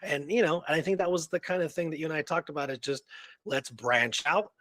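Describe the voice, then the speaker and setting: Guy cohosting a history show, rambly casual chat, bit higher voice, really dry sound no room. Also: brisk, a bit bright, no room sound. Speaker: co-host of an Old West history show.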